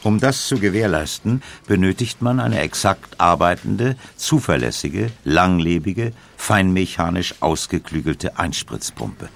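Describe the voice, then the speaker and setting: A man narrating a scripted documentary in a measured, precise way. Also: deep voice, expressive, vocal fry.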